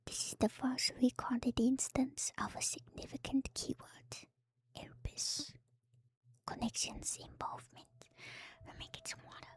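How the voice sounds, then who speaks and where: A woman streamer whispering an ASMR lore commentary to her viewers in a hushed, musing, unhurried way. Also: high voice, level tone. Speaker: VTuber streamer. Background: music.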